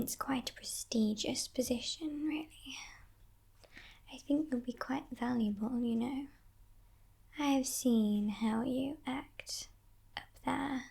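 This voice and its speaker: A woman acts out a sly demon kidnapper, a female captor in an ASMR roleplay. Her voice is a breathy, mostly whispered murmur with crackling fry, somewhat high for a woman. She takes her time, teasing and condescending, every coaxing line carrying quiet menace. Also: full character voice. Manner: slow, teasing, condescending, softly threatening.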